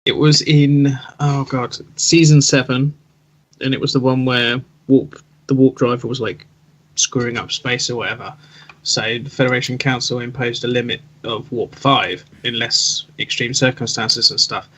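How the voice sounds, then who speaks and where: A man's voice: mid-range voice, fairly even intonation, normal speed, a bit bright, rough recording. A man with a mid-range voice joins in casual podcast talk. He speaks informally and searches for the word he wants as he goes. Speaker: podcast panelist. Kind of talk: casual chat.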